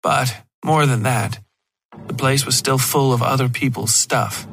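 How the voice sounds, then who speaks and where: A man narrates a dark horror story in the first person. His voice sits at a middle pitch, with faint music underneath. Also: fairly even intonation, a little slow, gravelly.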